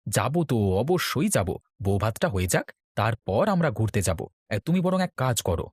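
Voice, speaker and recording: A man's voice: medium pitch, very animated, a little fast, breathy edge, no echo, clean studio sound. A man voicing a considerate young newlywed husband in a cartoon, coaxing and reassuring. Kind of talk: cartoon dialogue. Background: background music.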